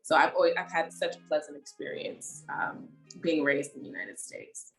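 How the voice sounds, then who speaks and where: A young woman from Haiti answering questions as an interview guest, her voice notably low for a woman. She speaks in a measured, reflective way, pausing on "um" as she gathers her thoughts. Faint music sits beneath her voice. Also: expressive, a bit bright, rough recording. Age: young adult.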